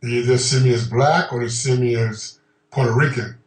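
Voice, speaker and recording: A man from Honduras speaking in a documentary interview, measured and reflective, pausing with ums. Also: mid-range voice. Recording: roomy sound from a distant mic.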